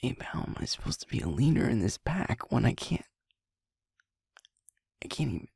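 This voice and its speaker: A young man playing a sweet, guilt-ridden werewolf who is second in command of his pack, speaking in a breathy, halting, confessional way for an intimate audio roleplay. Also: medium pitch, big pitch swings, a little mellow, dry recording, clean studio sound, exaggerated voice. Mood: ashamed, dejected. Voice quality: breathy, husky, often falling to a whisper. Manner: halting, heavy pauses, confessional.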